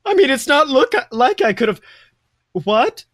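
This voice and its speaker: Flamboyant, indignant male character played by a man reader, very high pitch, theatrical swings, sarcastic, for a story reading. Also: big pitch swings, a little slow, fairly bright tone, dry recording, studio quality, exaggerated voice. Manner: exaggerated, dramatic, sarcastic delivery. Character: flamboyant, prickly, melodramatic man, indignant and suspicious of kindness. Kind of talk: fan fiction read aloud with character voices.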